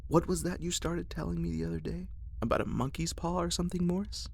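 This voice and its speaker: A man doing a grumbling, raspy old father. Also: fairly high pitch, steady tone, quick pace, darkish tone, slight background noise, voice pushed up. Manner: querulous outbursts.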